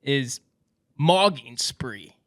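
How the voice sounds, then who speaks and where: A man's voice: mid-range voice, animated, drawn-out words. A young man hosting an interview, chatting casually and explaining things as he goes. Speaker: interviewer, show host. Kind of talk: casual chat, explaining slang.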